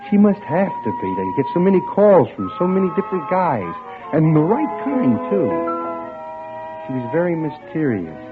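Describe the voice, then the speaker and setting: A man's voice: mid-range voice, lightly acted. An American actor playing a smitten young bank clerk, telling his story in a wry, musing way in old radio suspense style. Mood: musing, dreamy. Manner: wry, musing, dramatic pauses. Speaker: actor narrating a radio drama. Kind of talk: golden-age radio suspense acting, first-person narration. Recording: tinny, band-limited old radio recording with music.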